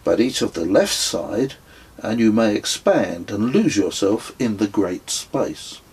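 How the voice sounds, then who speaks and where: A man's voice: on the low side, big pitch swings, takes it slowly, darkish tone, husky, full character voice. A man voicing a playful English father in a storybook, politely mock-solemn and theatrical.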